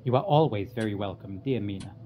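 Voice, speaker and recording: An actor playing a courteous, composed asylum doctor in a radio drama, speaking in a genteel, reassuring manner. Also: male voice, on the low side, expressive, fast talker, dark tone, a little hiss or hum, natural voice.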